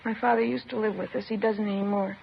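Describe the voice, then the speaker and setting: An actress playing a shaken, bitter teenage girl in a 1950s radio drama, halting and subdued. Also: mid-range voice, steady tone, vocal fry, natural voice. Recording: muffled, slightly noisy old radio recording.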